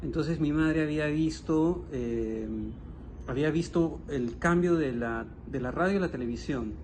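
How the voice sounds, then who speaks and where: A man's voice: on the high side, a little slow, darkish tone. A man, discussing a book conversationally in a reflective way and often searching for his words. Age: 50s. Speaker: guest writer commenting on a novel. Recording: noticeable background ambient noise.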